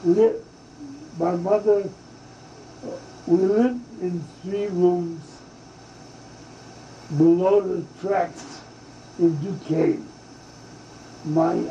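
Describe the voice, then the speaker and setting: An older man from Hungary, recalling his family's past in an oral history interview, speaking haltingly with many fillers and restarts. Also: high-pitched, mellow, a little hiss or hum. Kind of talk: slow reminiscing oral history. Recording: dull lo-fi tape in a roomy space.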